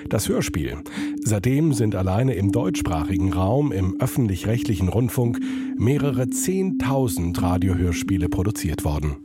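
Male feature narrator, lowish and animated, scripted radio delivery over loud music. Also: normal speed. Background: loud music and jingles.

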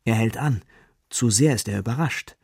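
Guy narrating kids radio story, low husky voice, close dry sound, bit of music. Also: moderate pace, darkish tone, no echo. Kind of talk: children's radio play storytelling.

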